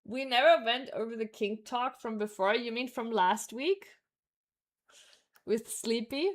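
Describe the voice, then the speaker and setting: A woman chatting casually as she streams a game, informal and reactive, thinking out loud. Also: a little high, a little slow. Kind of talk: casual live gameplay chat. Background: game music.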